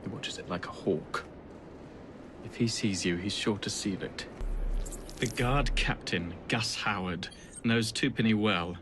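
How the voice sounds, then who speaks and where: Man as stuffy Victorian sergeant, English accent, low pitch, formal, cautious, video game acting, clear background noise. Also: a little slow, lightly acted. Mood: earnest briefing.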